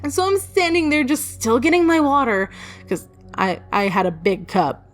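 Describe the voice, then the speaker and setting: A woman telling a personal story in a casual, informal way, with dramatic pauses for suspense and acted-out thoughts. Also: high voice, lively intonation. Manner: casually sweary. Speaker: storyteller, video creator. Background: music.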